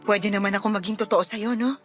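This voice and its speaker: A woman's voice: mid-range voice, fast talker, slightly rough, natural voice. A woman playing a worn-out, despairing young mother in a Filipino radio drama, with a Filipino accent and a sullen, pleading manner. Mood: bitter, weary. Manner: curt and sullen, breaking into tearful pleading. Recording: music behind the voice.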